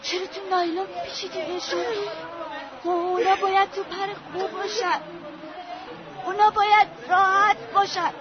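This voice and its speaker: A woman's voice: extremely high pitch, little pitch movement, noisy background. A woman playing a desperate young eldest daughter who pleads and sobs over her parents. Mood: grief-stricken. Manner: pleading, sobbing, delirious. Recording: dull lo-fi with crowd behind.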